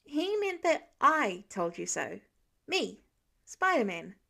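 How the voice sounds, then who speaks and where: A woman voicing an excitable, awkward teenage boy superhero who rambles eagerly in an audiobook-style story. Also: high voice, takes it slowly, vocal fry, heavily acted. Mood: nervous, eager.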